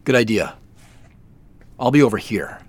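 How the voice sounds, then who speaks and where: An actor in an audio drama playing a furtive, awkward office worker, with halting, casual delivery. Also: male voice, lowish voice, expressive. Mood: furtive, musing. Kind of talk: naturalistic audio drama acting.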